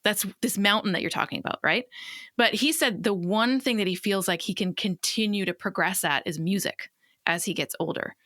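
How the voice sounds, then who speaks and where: A woman in her late thirties, hosting an interview podcast, listening attentively and telling a story clearly. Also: medium pitch, normal speed, pushed and bright, no room sound, clean studio sound. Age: late 30s. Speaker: podcast host. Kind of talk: interview conversation.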